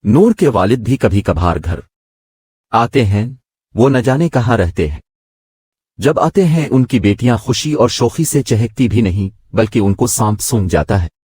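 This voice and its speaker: Male narrator as sensitive young daughter in a moral story, earnest questioning, husky and dry. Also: lowish voice, animated, measured pace, darkish tone, dry recording. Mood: puzzled, troubled. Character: curious, sensitive young daughter, puzzled by joyless neighbours.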